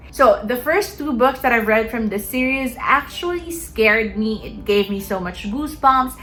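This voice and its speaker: Young woman from the Philippines, native Tagalog speaker, bright eager booktuber, chatty and casual. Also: fairly high pitch, slight background noise. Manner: chatty, eager, filler-heavy. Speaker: book vlogger. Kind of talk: casual reading-list vlog.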